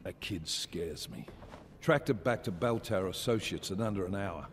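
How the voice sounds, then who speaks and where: An actor playing a brisk, businesslike male Interpol agent in a video game cutscene. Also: low-pitched, animated. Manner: brisk briefing tone.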